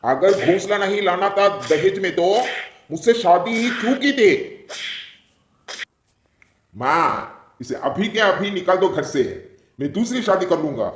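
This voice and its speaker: Man as a high-voiced, greedy young crow groom. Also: animated, measured pace, long pauses, reverberant. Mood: angry. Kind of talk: Hindi cartoon story character acting.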